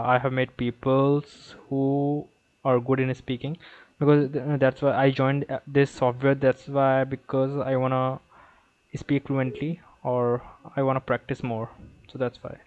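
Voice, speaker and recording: A man from northern India with a North Indian accent, chatting on an online voice call, soft and hesitant with many fillers. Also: medium pitch, fairly even intonation, unhurried, no echo. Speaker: YouTuber practicing English. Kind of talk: casual chat on a voice call. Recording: tinny phone-like call audio.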